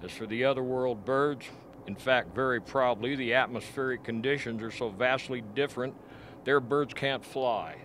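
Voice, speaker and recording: A man acting a grim, frank scientist in an amateur radio play, explaining things deliberately. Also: mid-range voice, a bit bright, a little hiss or hum, natural voice, rough recording. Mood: firm, explanatory. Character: grim, frank male scientist doctor at a polar outpost.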